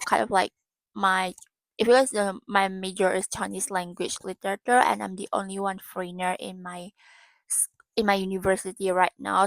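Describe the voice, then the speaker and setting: Indonesian woman vlogging in halting English. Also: mid-range voice, gravelly, a bit bright, no room sound, rough recording. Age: 20s. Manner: halting, searching for English words. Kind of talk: casual vlog talk about goals.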